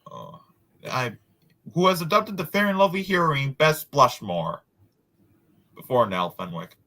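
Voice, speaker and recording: A man reads aloud in a bright voice with lively swings in pitch. He drops in casual asides, and now and then trips over a word and says it again. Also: unhurried. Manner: stumbles over words and repeats them. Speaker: host of a group read-aloud.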